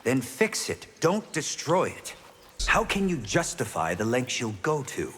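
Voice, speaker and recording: An actor playing a righteous video game hero, reasoning earnestly in a husky voice. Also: male voice, mid-range voice, sing-song, measured pace, a bit bright, a little hiss or hum. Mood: earnest, reproachful. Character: earnest, righteous male hero pleading for peace. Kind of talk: video game fight banter.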